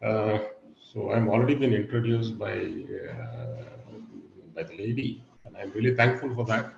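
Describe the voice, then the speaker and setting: A middle-aged man with a husky, low voice giving an online guest lecture, pausing often with fillers and "okay". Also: very animated, a little mellow, echoey room, lo-fi sound, a little hiss or hum. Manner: hesitant, many fillers and "okay". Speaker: guest speaker. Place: video call.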